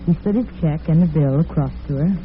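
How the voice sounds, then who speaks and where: A woman's voice: low-pitched, brisk, slight background noise. A woman giving dramatic scripted narration as the storyteller of a radio drama. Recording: tinny vintage radio recording with music.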